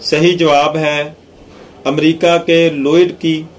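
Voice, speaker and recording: A man narrating scripted quiz questions and answers in a measured, deliberate reading. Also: on the high side, flat delivery.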